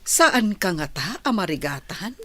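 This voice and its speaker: A woman's voice: on the low side, very animated, unhurried, bright, forceful tone, heavily acted. A woman with a Filipino accent playing a caring, cautious mother advising her son in a radio drama. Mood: mild concern.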